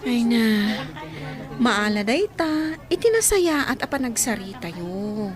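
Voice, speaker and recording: An actress playing a concerned friend who gives advice in an Ilocano radio drama. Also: female voice, a little high, very animated, slow, over music.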